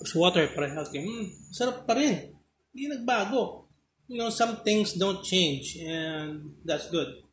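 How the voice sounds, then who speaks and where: A casual reviewer in their thirties speaks with a Filipino accent as a native Filipino speaker. The talk rambles and circles back on phrases at a middling pitch. The recording is echoey, with clear background noise. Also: lively intonation, unhurried, fairly bright tone. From Philippines. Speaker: snack reviewer. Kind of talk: casual chat, mixing Tagalog and English. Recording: roomy echo, noisy background, clicks.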